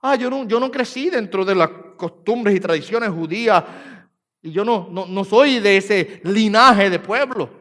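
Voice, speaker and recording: A man's voice: high-pitched, a little slow, fairly bright tone. A man with a Puerto Rican accent preaching a sermon as pastor, repeating phrases for emphasis and rising and falling in force. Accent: Puerto Rican Spanish. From Puerto Rico. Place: church sanctuary. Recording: church hall reverb.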